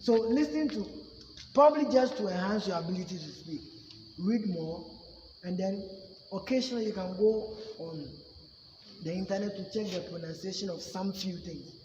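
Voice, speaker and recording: A man's voice: sits high, normal speed, warm tone, dull audio, a little hiss or hum. A man with a Ghanaian accent teaching a public speaking class in an emphatic way, often asking "you see?". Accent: Ghanaian English. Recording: echoey room with long reverb.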